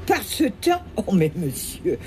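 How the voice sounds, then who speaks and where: An actress playing a talkative, complaining train passenger in a radio play, given to exclamations. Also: female voice, mid-range voice, huge pitch swings, a little slow, a little mellow, a little hiss or hum. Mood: incredulous. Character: chatty, grumbling francophone Swiss woman train passenger.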